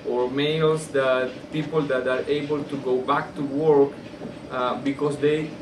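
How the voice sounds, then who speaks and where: A man from Colombia, a native Spanish speaker with a Colombian accent, answers interview questions as a surgeon in halting phrases with repeated words. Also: mid-range voice, level tone. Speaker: orthopedic surgeon being interviewed. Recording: roomy, distant mic, air-conditioner hum.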